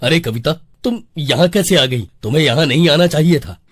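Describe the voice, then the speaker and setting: An actor playing a protective, earnest elder brother in a cartoon story. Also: male voice, fairly high pitch, fairly quick, no room sound, clean studio sound, close to an everyday voice. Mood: dismayed. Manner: reassuring, earnest.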